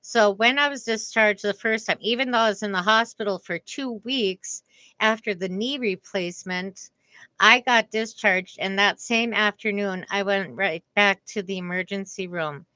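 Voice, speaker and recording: A middle-aged woman talking slowly and earnestly about her own experience, with careful pauses and a slightly strained voice. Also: mid-range voice, bright, forceful tone, dry recording. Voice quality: voice strained after a vocal cord paralysis. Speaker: speaker giving a message on communication. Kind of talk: slow reflective personal talk.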